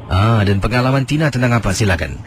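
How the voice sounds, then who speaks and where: A man's voice: lowish voice, animated, quick pace, a little hiss or hum. A man hosting a late-night radio phone-in, prompting callers with short questions and murmurs. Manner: brief prompts and murmured acknowledgements. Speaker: radio host. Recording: radio broadcast with music.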